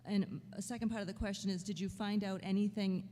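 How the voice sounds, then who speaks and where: A woman, a state representative, politely asking halting questions at a committee hearing. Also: mid-range voice, steady tone, warm tone. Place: legislative committee hearing room. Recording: long hall reverb, faint room noise.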